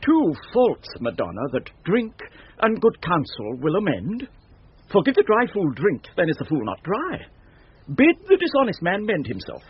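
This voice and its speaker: An actor playing a quick-witted, teasing court fool in 1970s radio Shakespeare, with theatrical, riddling delivery. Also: male voice, high-pitched, huge pitch swings, husky, dry recording, full character voice. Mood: impudent wit. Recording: dull vintage radio recording.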